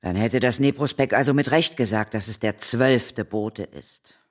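An actor playing an eager, probing male ghost hunter in a children's radio drama, questioning in an animated way. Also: mid-range voice, moderate pace, lightly acted. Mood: pondering.

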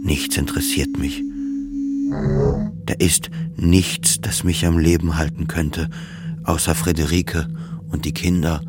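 A man's voice: extremely low pitch, fairly even intonation, measured pace, pauses often, loud music behind the voice. An actor playing a depressed, despairing man in a radio play monologue, brooding and self-mocking as he narrates his inner thoughts. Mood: despairing, self-loathing.

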